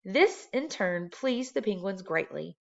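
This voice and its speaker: Lady reading a kids chapter book out loud, normal pitch, kinda muffled recording. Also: animated, a little slow, gravelly. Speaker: storybook reader. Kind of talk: children's read-aloud narration.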